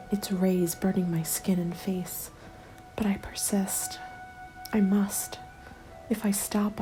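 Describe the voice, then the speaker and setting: A woman reads a scripted ASMR roleplay in a soft, breathy whisper with a creaky edge. Her delivery is hushed and very even, unfolding slowly and deliberately. Music plays clearly behind her. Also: medium pitch, poor audio. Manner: slow, hushed, deliberate storytelling. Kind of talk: ASMR scripted first-person story narration. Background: instrumental music.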